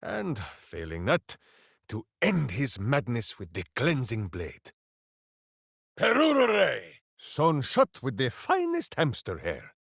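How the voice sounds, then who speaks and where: An actor voicing a big, simple-minded, hearty berserker hero in a fantasy video game, declaiming with bombastic earnestness. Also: male voice, mid-range voice, huge pitch swings, slow, vocal fry, a bit bright, slightly rough, no room sound, studio quality, exaggerated voice. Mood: battle fervor. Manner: bombastic, earnest, grandly declaiming, speaks of himself by name. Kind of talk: theatrical fantasy video game character acting.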